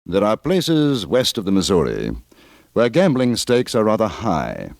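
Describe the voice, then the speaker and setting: A grave Western storyteller on old-time radio. The voice is fairly low and dry, with dramatic, animated swings in pitch. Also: male voice, a little slow, creaky, dry recording, exaggerated voice. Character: grave narrator of a Western adventure series.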